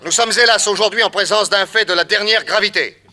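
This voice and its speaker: An actor playing a bold detective who addresses officials formally and with authority in a French radio drama. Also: male voice, high voice, brisk, pushed and bright. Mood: commanding. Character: bold, commanding male detective.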